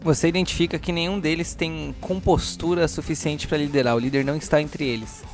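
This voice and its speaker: Higher-voiced male game master over music. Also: steady tone, brisk. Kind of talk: improvised narration of a tabletop roleplaying game.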